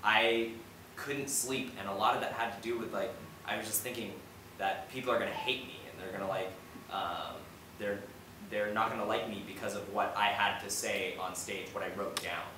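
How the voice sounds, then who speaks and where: Low-voiced young man in a very echoey room. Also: steady tone. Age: young adult. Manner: informal, halting, reflective. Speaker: playwright on a discussion panel. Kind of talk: audience Q&A panel talk. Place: echoey indoor theater. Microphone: distant camera mic. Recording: echoey room, distant mic, noisy background.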